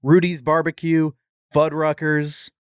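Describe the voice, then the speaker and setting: A man's voice: a little high, level tone, a little slow, clear, resonant tone, no echo. Casual male podcast co-host, dry close sound. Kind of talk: casual comedy podcast chat.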